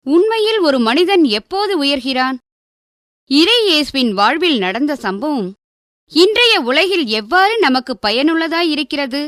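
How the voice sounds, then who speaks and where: A woman's voice: high-pitched, sing-song, measured pace, fairly bright tone, no room sound, exaggerated voice. A woman performing as an earnest narrator in scripted radio drama, posing rhetorical moral questions.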